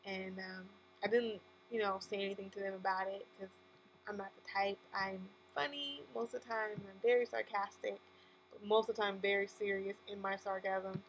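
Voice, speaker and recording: Woman, lisp, medium pitch, casual faith vlog, candid, emphatic, halting self-corrections, faint background hum. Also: rough recording. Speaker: vlogger with a faith-based message. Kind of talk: casual personal testimony to camera. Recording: steady mains hum and background noise.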